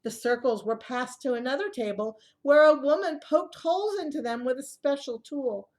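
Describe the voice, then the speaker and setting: A woman with a fairly high voice reads a storybook aloud for small children. Her delivery is expressive and child-directed, moving between the narration and simple asides to her young listeners. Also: moderate pace. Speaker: early childhood storyteller reading a picture book aloud.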